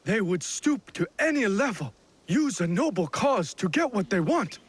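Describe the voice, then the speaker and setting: An evasive lord stumbles over his words with husky breath. His voice sits high and swings widely in pitch. He sounds caught out yet indignant. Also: big pitch swings, moderate pace, exaggerated voice. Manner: hesitant, stammering with "uh". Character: flustered evasive middle-aged male lord. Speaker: feudal lord. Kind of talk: video game cutscene acting.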